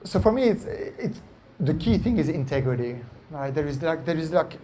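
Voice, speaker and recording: A man from France with a French accent, a company founder answering interview questions in an animated way, often tagging "right?" and "like". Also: fairly high pitch, moderate pace, slight background noise.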